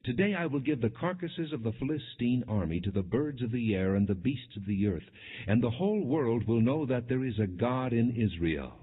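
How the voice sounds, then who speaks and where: A man's voice: medium pitch, expressive, moderate pace, slightly rough, voice pushed up. Man reading as earnest young warrior, muffled recording. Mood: defiant. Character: bold, devout young shepherd warrior. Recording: muffled, phone-like narrow band.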